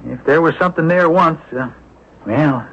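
A jumpy, folksy former crook with a husky, slightly high voice. He talks in loose, ungrammatical phrases, eager to please, in seventies radio play style. The broadcast recording is dull. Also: moderate pace, fairly bright tone. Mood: chatty, helpful. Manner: colloquial, ungrammatical speech. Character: nervous, folksy small-time male ex-convict, eager to please. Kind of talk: 1970s radio drama acting.

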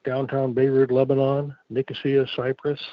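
A man's voice: mid-range voice, monotone, moderate pace, dry recording. A man who is a guest on a podcast, telling stories in a rambling way with many restarts. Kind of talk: casual chat. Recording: muffled lo-fi sound.

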